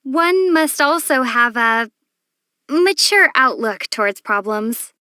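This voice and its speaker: A woman voicing a young female adventurer in a video game, measured, thoughtful and gently dry. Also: high-pitched, lively intonation, smooth, no echo, exaggerated voice. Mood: instructive. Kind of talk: video game character voice acting.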